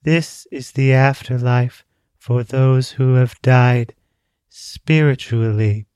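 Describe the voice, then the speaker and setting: A man voicing a small, weary girl who speaks in a near-monotone, calm and detached. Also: medium pitch, clear, resonant tone, dry recording, studio quality, natural voice. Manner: flat, slow, calmly detached.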